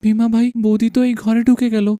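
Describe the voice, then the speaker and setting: A meddling, loyal village fellow from a cartoon folk tale, voiced by a woman. The voice is dark and mellow, talking in a conspiratorial, exclamatory way. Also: on the high side, flat delivery, a little fast, no room sound, close to an everyday voice. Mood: anxious. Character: loyal, meddling village friend, adult man. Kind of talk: animated folk tale dialogue.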